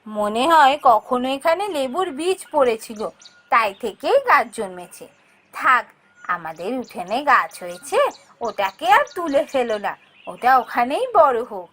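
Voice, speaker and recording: Woman playing a high-pitched, caring village wife. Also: very animated, a little slow, fairly bright tone, exaggerated voice. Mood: musing. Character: caring, homely village wife of a poor seller. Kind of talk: cartoon fairy-tale acting.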